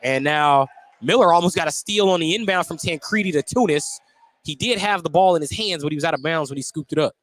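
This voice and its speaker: A man's voice: fairly high pitch, quick pace, a bit bright, no room sound. An American man doing live play-by-play basketball commentary on the radio.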